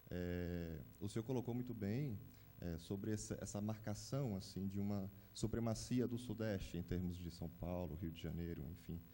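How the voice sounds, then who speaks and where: A young man asks a question from the audience in a low, dark voice. He speaks earnestly and often tags his sentences. A faint hum sits behind him. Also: moderate pace. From Salvador, Bahia, Brazil. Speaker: audience member asking a question.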